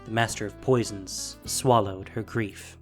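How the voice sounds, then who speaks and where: A man narrating a fantasy audio drama in a measured, theatrical storytelling style. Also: on the low side, steady tone. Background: music and sound effects.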